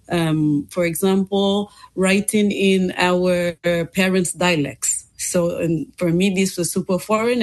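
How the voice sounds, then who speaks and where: Soft-spoken Fijian woman, lower voice. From Fiji. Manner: thoughtful, many ums and restarts. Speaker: interview guest, English teacher. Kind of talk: casual interview answers.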